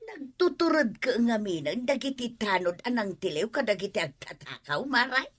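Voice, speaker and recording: A woman's voice: fairly high pitch, very animated, normal speed. A woman with an Ilocano accent playing a fretful, gossipy village neighbour in a radio comedy drama, chatty and dramatic.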